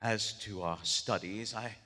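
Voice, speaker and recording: An actor playing a shaken, haunted writer of weird fiction in a live radio horror play, with formal, archaic, dramatic diction. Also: male voice, on the low side, unhurried, bright, forceful tone. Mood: haunted, grave. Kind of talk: live 1920s-style radio horror theater acting. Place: large theater stage, live performance. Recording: live stage in echoing theater hall.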